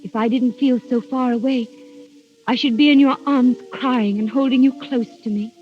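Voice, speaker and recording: A woman's voice: sits high, fairly even intonation, normal speed, natural voice. An actress playing a dreamy young noblewoman in love, wistful and formal, in a 1930s radio drama. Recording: muffled vintage 1930s radio recording, faint music.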